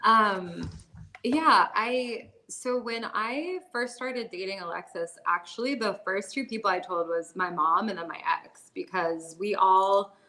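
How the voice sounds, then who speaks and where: Woman, 30s, bright open tone, chatty guest, filler-heavy candid storytelling. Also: medium pitch, a little slow, poor audio. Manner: chatty, many "like" and "you know" fillers, laughs often. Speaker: podcast guest.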